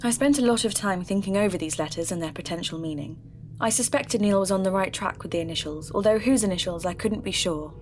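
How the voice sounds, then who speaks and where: Actress as podcast investigator woman, composed, curious, medium pitch, slight noise behind her. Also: fast talker, close to an everyday voice. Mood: composed, intrigued. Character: inquisitive, considerate female podcast investigator. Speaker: host. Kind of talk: scripted podcast narration and interview.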